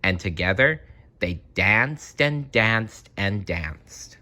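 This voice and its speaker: A man tells a children's story at an unhurried pace. His voice sits a little low with a bright, forceful edge, and his expressive reading moves through a wide range of pitch. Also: slight background noise. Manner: expressive, dramatic. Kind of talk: children's storybook read-aloud.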